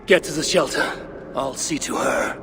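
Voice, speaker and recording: A stern warrior god acted by a man with a somewhat high male voice, curt and imperious. He gives orders with dry mockery in game cutscene style, with clear background noise. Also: normal speed, close to an everyday voice. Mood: grim, commanding. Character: commanding one-eyed male god-warrior, stern and dryly mocking. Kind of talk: video game cutscene acting.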